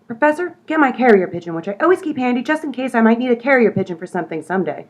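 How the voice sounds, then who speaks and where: A woman's voice: on the high side, very animated, racing. A woman acting an exasperated, determined amateur sleuth in a comedy radio play, with a theatrical manner. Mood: brisk, determined. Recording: faint background noise.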